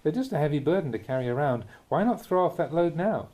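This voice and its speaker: A man reading a Buddhist teaching aloud in measured, deliberate short phrases, in the manner of a spoken audiobook. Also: on the high side, big pitch swings, warm tone. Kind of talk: read-aloud dharma talk, audiobook narration.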